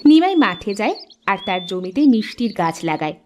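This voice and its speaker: A woman narrates a cartoon story from a script. Her pitch is middling but swings widely from phrase to phrase. A faint noise sits behind the voice. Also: sing-song, moderate pace, a little mellow.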